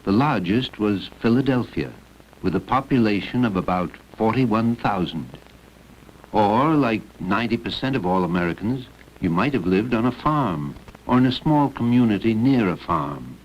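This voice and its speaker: Male educational film narrator, scripted delivery, slightly low pitch, dull vintage-film recording. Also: expressive, normal speed, slight background noise.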